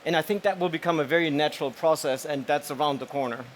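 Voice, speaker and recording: A man's voice: fairly high pitch, fast, slight background noise. A man speaking on an industry panel, confident and plain-spoken. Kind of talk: panel discussion.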